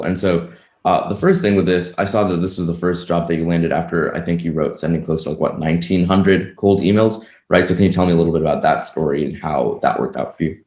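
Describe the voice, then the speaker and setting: A man hosting a podcast, asking quick, upbeat interview questions. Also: deep voice, a little mellow, roomy sound. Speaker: podcast host.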